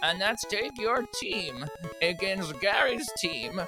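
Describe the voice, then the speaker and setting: Game master acting old professor, grand, theatrical, slow dramatic delivery, role-play game, music behind. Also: medium pitch, very animated.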